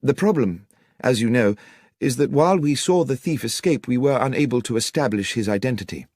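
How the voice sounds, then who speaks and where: A man's voice: mid-range voice, animated, a little fast, a little mellow, no echo, clean studio sound, lightly acted. Man voicing an earnest young clergyman, swooping intonation. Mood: earnest, relieved. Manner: articulate, polite, wordy and earnest. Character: anxious, courteous young male country clergyman. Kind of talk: audiobook character dialogue.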